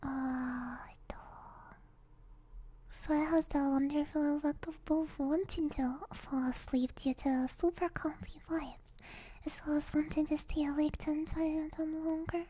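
A young woman with a very high, girlish voice chats in a soft, breathy ASMR style, often whispering. She takes it very slowly, close to the mic, with a cutesy anime-style delivery. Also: flat delivery, low-quality recording. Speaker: ASMR streamer. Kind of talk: ASMR, casual livestream chat. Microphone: close binaural ASMR microphone.

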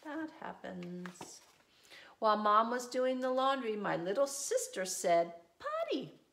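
A middle-aged woman reading a children's storybook aloud, adding gentle, reassuring asides in simple phrases for young children. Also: mid-range voice, lively intonation, measured pace, gravelly. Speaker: preschool teacher reading a picture book aloud. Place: small indoor room with desk and shelves.

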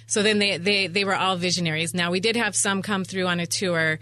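A woman's voice: fairly low pitch, steady tone, a little fast, bright, forceful tone. A middle-aged woman chatting at length as a guest in a radio interview, talkative and full of anecdotes, on a studio microphone. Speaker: interview guest, event venue owner. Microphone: studio microphone on boom arm.

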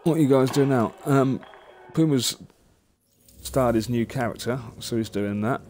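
A man's voice: medium pitch, unhurried, a little mellow, faint music behind. A man chatting casually as he streams a video game. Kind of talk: casual gameplay stream chat. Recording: noisy mic with game sound behind.

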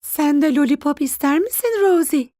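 A woman's voice: high voice, normal speed, natural voice. A woman voicing a playful, loving young mother in a children's cartoon dub, with an affectionate sing-song delivery.

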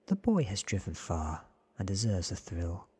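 Slow, very breathy whispering man. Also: low voice, huge pitch swings. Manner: hushed, deliberate, short measured phrases. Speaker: narrator reading a novel aloud. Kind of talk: ASMR whispered story reading. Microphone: close studio microphone, seen.

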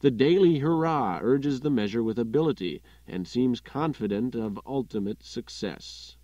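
A man's voice: medium pitch, darkish tone, no room sound, muffled recording. Dry-sounding male audiobook narrator. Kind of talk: scripted literary narration.

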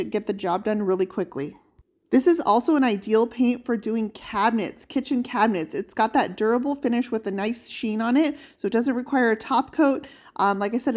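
A woman giving a steady, step-by-step instructional voice-over on painting furniture, upbeat about the products she uses. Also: on the high side. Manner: steady, explanatory step-by-step walkthrough. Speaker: furniture painting channel host.

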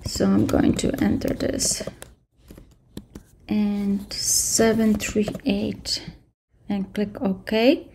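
A woman in her thirties giving a casual screen-share software tutorial indoors at her computer, explaining step by step with frequent fillers. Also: medium pitch. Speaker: tutorial presenter.

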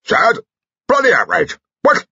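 A man's voice: very high-pitched, very animated, a little slow, vocal fry, bright, forceful tone, breathy edge, dry recording, muffled recording, voice pushed up, exaggerated voice. A man voicing an irascible old general in an audiobook, barking indistinctly as if toothless. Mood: outraged. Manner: barking, outraged.

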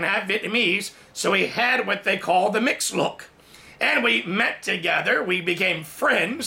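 A man in his forties preaches from his home study, raised in Thailand and telling his own story with lively, sweeping gestures. His voice sits high and rings with a sharp, bright edge, and it leaps up and down as he tells it. A gravelly rasp shows through at the bottoms of his phrases. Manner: animated storytelling with big hand gestures. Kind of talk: sermon testimony. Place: home study with bookshelves, indoors.

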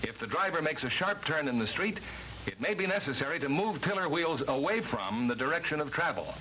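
A man's voice: a little high, sing-song, brisk, pushed and bright, a little hiss or hum, low-quality recording. A man reading formal, precise instructional narration for a vintage training film, on a worn old soundtrack.

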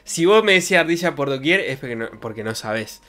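A man's voice: mid-range voice, lively intonation, brisk, sharp tone. A young man with an Argentine accent, a streamer rambling in casual, jokey slang close to a desk microphone. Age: young adult. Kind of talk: casual livestream chat. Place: small bedroom streaming setup. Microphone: desk microphone with pop filter, close.

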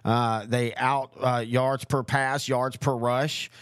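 Man, sports analyst, average pitch, conversational with emphatic repeats, very dry studio-style sound. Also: little pitch movement, slow, a bit bright, clear, resonant tone, no echo. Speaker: sports show co-host and analyst. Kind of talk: casual sports discussion.

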